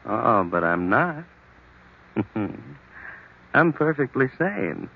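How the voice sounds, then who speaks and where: A man playing an unhinged jealous husband in an old-time radio thriller, mocking and falsely calm with menace underneath. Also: mid-range voice, drawn-out words, vocal fry, full character voice. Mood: mocking suspicion. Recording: muffled vintage radio recording.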